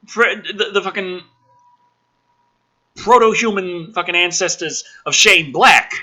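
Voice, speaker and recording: A man doing a ranting commentary as he reads through a script, exasperated and heavily profane, breaking into shouted outbursts. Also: sits high. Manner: swings between muttered reading and shouted outbursts. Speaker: host of a gaming and film commentary channel.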